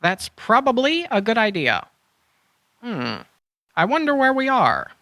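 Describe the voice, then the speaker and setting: Woman playing a lively, cocky boy adventurer. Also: fairly high pitch, unhurried, no echo, studio quality, full character voice. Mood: breezy, smug. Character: boy adventurer, cheerfully overconfident schemer. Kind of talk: children's church audio drama.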